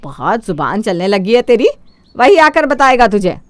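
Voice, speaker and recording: A woman voicing a greedy, domineering older mother-in-law in a cartoon story, with sharp-tongued commands. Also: mid-range voice, very animated, moderate pace, no room sound, lightly acted. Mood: scolding.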